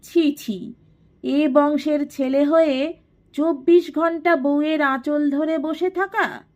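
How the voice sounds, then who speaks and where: A woman's voice: high-pitched, fairly even intonation, takes it slowly, darkish tone, pitched above the performer's own voice. A woman voicing a haughty, scheming older matriarch in an audio story, imperious and sarcastic. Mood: scornful, scolding. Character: haughty, scheming, domineering elder matriarch of a declining household.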